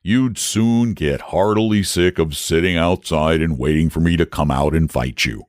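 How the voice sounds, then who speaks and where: Storybook dragon, genteel and lazy, deep drawl, read by a man; casting note. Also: moderate pace, dry recording, clean studio sound. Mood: placid. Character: lazy, genteel, self-satisfied male dragon.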